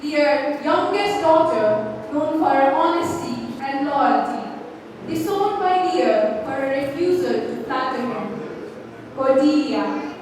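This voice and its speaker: A woman's voice: high voice, lively intonation. A young woman giving formal, declamatory scripted narration to introduce a stage play. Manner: declamatory, deliberate phrasing. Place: large hall, stage presentation to an audience. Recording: echoey hall from far off, faint noise.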